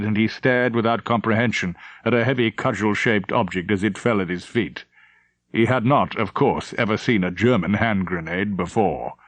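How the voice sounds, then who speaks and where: A man's voice: on the low side, no echo. A man narrating a novel as a scripted, dramatic audiobook reading.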